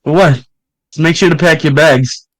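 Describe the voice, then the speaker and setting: A man's voice: fairly high pitch, fairly even intonation, normal speed, dry recording, lightly acted. A man voicing a fed-up, scornful parent figure in a ranting, contemptuous way. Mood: sneering. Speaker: co-streamer voicing a visual novel.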